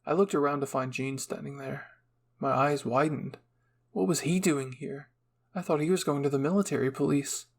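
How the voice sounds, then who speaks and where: A man narrates a scripted first-person story. His voice sits a little high for a man, with a husky texture and plenty of vocal fry. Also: moderate pace.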